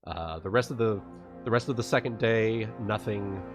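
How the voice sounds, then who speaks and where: A man narrating a live tabletop roleplaying session as game master, halting as he searches for words. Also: lowish voice. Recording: music playing behind the voice. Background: background music.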